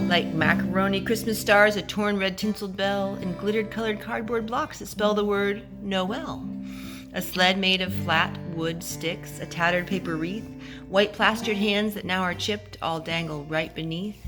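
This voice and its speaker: A woman reading a rhyming picture book aloud to young children, with expressive, gently dramatic storytelling. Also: medium pitch.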